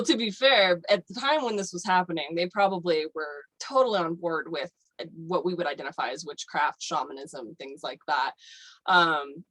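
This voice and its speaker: A woman explains a subject on a podcast, slightly low in pitch. She rambles with plenty of fillers and restarts. Her voice sits dry and close with no room around it. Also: fairly bright tone, dry recording, low-quality recording. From United States. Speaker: guest. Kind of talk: casual podcast chat.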